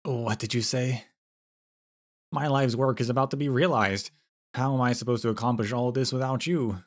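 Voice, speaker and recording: A man voicing an excitable elderly male professor who stammers eagerly over his finds. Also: mid-range voice, normal speed, voice pushed up, close to an everyday voice. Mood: bewildered. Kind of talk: game story read aloud.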